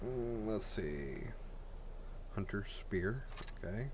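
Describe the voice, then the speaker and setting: A man's voice: fairly low pitch, lively intonation, slow, dull audio, background noise. A man giving casual, blunt and sweary commentary while he plays and reviews a video game. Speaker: gamer reviewing a game mod.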